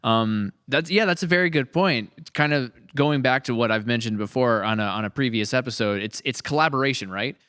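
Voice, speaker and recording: Man, podcast host, smooth resonant voice, dry close sound, chatty and upbeat. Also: medium pitch, a little fast, hardly any pauses, no echo. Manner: chatty, upbeat, animated quoting. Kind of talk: casual podcast chat.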